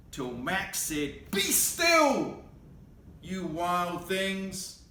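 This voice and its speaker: A man's voice: sits high, bright, forceful tone, echoey room. A man reading a picture book aloud expressively, with playful, casual asides and exclamations as he goes. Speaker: storyteller.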